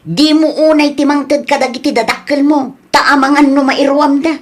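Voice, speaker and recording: A woman's voice: sits high, fairly even intonation, normal speed, close to an everyday voice. A woman with a Filipino accent playing a nagging, money-minded, practical wife in an audio drama.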